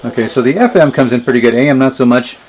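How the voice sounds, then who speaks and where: A man giving a casual, unscripted gadget demonstration, thinking aloud in a low-key, hesitant way. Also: fast, darkish tone. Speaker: vintage radio reviewer demonstrating gadgets. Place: indoors in a basement. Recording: noisy recording with radio static. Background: radio static and hiss.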